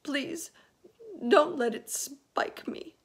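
Woman as a high, wailing, timid earthworm. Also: drawn-out words. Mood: pleading. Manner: pleading, wailing. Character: timid, whining, frightened earthworm.

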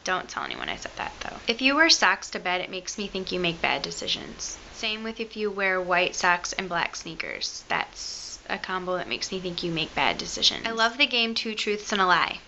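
A woman's voice: lowish voice, sing-song, moderate pace, gravelly, few pauses, sharp tone, highs cut off, slight background noise. Chatty young woman with a Michigan accent. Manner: chatty, self-mocking, rambling asides. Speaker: beauty vlogger. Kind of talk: casual chat to camera. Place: bedroom at home, indoors. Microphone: camera close to the face.

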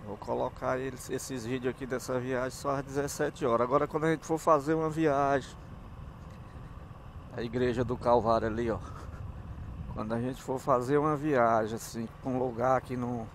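A man's voice: mid-range voice, fairly even intonation, normal speed, pauses often. A man with a northeastern Brazilian accent chatting casually as he vlogs from a moving motorcycle, in a folksy, rambling way. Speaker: motovlogger. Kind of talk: casual vlog narration while riding. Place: outdoors, riding a motorcycle on town streets. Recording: motorbike and street noise. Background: engine and traffic sounds.

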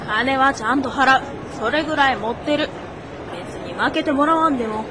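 A woman's voice: sits high, moderate pace, a crowd in the background, close to an everyday voice. A woman playing a cheeky, blunt child with a Kansai accent in a radio drama. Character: cheeky, blunt child customer at a bicycle shop. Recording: noisy outdoor street ambience.

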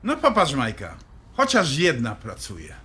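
A man's voice: medium pitch, huge pitch swings, unhurried, bright, forceful tone, full character voice. An actor playing a stern, middle-aged father in an audio drama, blunt, commanding and dismissive.

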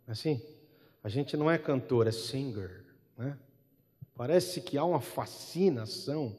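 A man's voice: a little high, mellow. A middle-aged man from Brazil with a Brazilian accent, a gospel singer and guitarist talking in a chatty, wry way between songs and tagging his lines with a questioning "né?". He speaks into a stand mic on a church hall stage. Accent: Brazilian Portuguese. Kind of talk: relaxed spoken interlude between songs at a church concert. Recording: long hall reverb with music behind.

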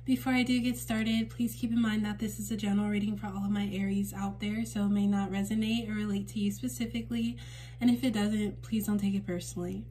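A woman with a temporarily raspy voice giving a casual spiritual card reading to camera at home, gentle and reassuring with frequent fillers. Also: medium pitch, little pitch movement, fast talker, slight background noise. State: raspy voice for a few days. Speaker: tarot reader and channel host. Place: indoors at a table in a home room.

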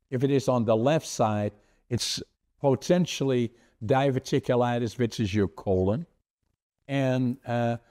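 Older dutch guy hosting a podcast over a video call, english is his second language, talks slow and careful, warm low tone, earnest about his life story. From Netherlands. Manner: earnest, deliberate, gestures with his hands. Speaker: podcast host. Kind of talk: conversational storytelling. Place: home office with framed certificates.

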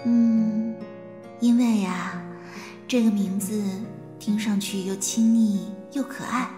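A woman's voice: medium pitch, fairly even intonation, slow, close to an everyday voice. A woman playing a tender, loving mother in an audio drama. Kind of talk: Chinese audio drama acting. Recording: reverberant flashback effect over music. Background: music.

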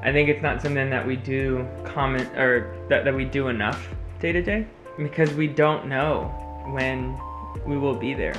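A man on a couch at home, talking calmly and reflectively in casual conversation. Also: medium pitch, moderate pace, music in the background. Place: living room couch.

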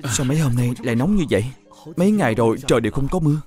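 A man playing a curious, questioning junior temple monk in an animated Buddhist drama. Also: medium pitch, rapid-fire, faint music behind, close to an everyday voice.

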